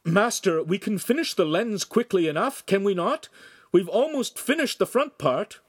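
Guy doing a cheeky teen boy, kinda theatrical, higher voice. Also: wildly swooping pitch, unhurried, a bit bright, breathy edge, no room sound, studio quality, heavily acted.

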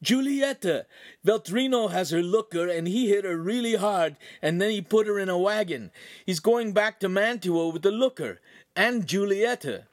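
A man voicing a frightened, naive young peasant squire in an audiobook, pleading and breathless with rough, uneducated speech. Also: high voice, quick pace, hardly any pauses, no room sound, studio quality, pitched above the performer's own voice. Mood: frantic babbling. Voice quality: strained, breathless.

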